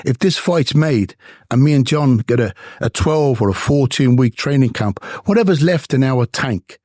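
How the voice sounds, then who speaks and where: An older former boxer from Dublin with an Irish accent, telling stories emphatically in an interview. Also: male voice, mid-range voice, expressive, moderate pace, darkish tone, no echo, studio quality. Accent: Dublin Irish with English traces. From Ireland. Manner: emphatic, repetitive storytelling.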